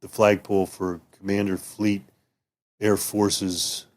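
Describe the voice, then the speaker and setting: Rambling low-voiced retired officer, a man. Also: little pitch movement, takes it slowly, a little mellow. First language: German. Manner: rambling, many restarts and "you know"s. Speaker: retired military officer guest. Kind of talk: casual interview reminiscing.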